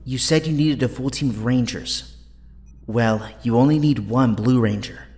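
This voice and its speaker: A man's voice: medium pitch, unhurried, darkish tone, lightly acted. A man playing a brainy, earnest young hero in an audio drama, with precise speech. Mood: resolute. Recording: long hall reverb.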